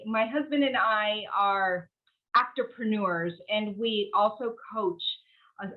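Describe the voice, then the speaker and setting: Chatty Venezuelan-born woman guest, muffled. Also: mid-range voice. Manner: warm, chatty, with hesitant fillers. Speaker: guest, actress and producer. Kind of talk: interview conversation.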